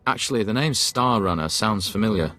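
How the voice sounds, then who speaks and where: An actor playing a cautious, deferential imperial officer in a video game, formal and obsequious. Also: male voice, on the low side, expressive, fairly quick, pushed and bright. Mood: deferential, wary. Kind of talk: game voice acting.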